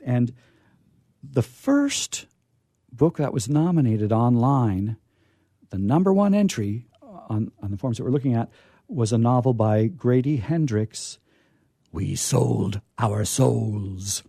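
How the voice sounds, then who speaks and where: Casting: American male radio host, soft-edged warm tone, dry studio sound, keen but rambling and halting casual talk. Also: mid-range voice, animated, creaky, breathy edge, no echo. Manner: halting, discursive, with emphatic repetition. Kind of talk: casual book chat.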